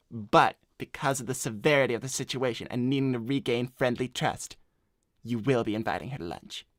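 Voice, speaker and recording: A man's voice: mid-range voice, fairly bright tone, no echo, studio quality, lightly acted. A man voicing a cocky, broke hedgehog slacker in an improvised cartoon livestream, casual, blunt and self-assured. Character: cocky, broke male hedgehog slacker giving shady advice. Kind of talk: improvised in-character livestream chat.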